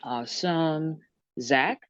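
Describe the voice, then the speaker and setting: A low-voiced woman hosts a group dating show, moving briskly from guest to guest. Her voice sounds close and dry, with slightly dull sound. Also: no echo. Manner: brisk, repeats short approvals. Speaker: host.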